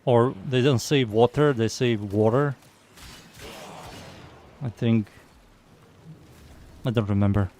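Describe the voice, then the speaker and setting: A young man with a Serbian accent talks his way through a live game stream, half to himself and half to his chat. His voice sits at an ordinary middle pitch, and his tone stays loose and unhurried. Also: a little mellow, a little hiss or hum. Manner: mutters to himself, reads chat aloud, easygoing. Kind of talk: casual live-stream chatter while playing.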